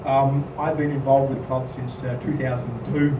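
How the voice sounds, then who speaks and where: A man's voice: mid-range voice, moderate pace. A man presenting a project at a club meeting, speaking plainly and steadily with many "um"s. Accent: non-local accent. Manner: plain, steady, filler-heavy delivery. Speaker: maintenance contractor presenting. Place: meeting room at a golf club. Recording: roomy, distant mic, background hum.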